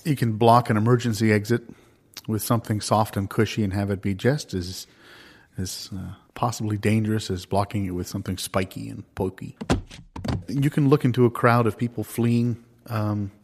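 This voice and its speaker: A man with a fairly low voice riffs in improvised comedy. He speaks in a halting, musing way and keeps a mock-serious, deadpan face on every idea. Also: a little hiss or hum. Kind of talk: improvised deadpan comic banter.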